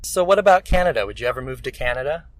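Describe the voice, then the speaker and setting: A man's voice: medium pitch, lively intonation, racing, dry recording, low-quality recording. A man from California with an American accent, chatting casually and hesitantly, often trailing off. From California, United States. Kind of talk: casual chat. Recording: noticeable ambient background noise.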